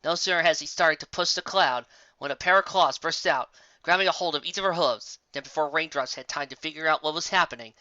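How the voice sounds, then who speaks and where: A man reading a story aloud as narrator, in an expressive scripted style. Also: a little high, brisk, clean tone, dry recording, dull audio. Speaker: fan fiction narrator.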